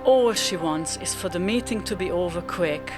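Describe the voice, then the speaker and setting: A woman's voice: on the low side, moderate pace, music in the background. A woman narrating a scripted radio drama as its storyteller.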